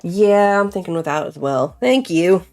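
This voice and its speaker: A young woman with a slightly low voice talks through her crafting at a home table, musing aloud and peppering her sentences with "like." Faint music plays quietly behind her. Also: lively intonation, normal speed. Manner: chatty, thinks aloud, many fillers like "like". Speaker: crafting vlogger. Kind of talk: casual chat while crafting.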